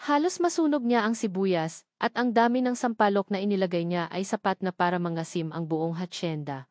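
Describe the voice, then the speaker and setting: A woman narrates a scripted love story in the first person. Her voice sits at a middle pitch. The sound is dry and free of room echo, in the manner of a dramatic audio story. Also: brisk, darkish tone.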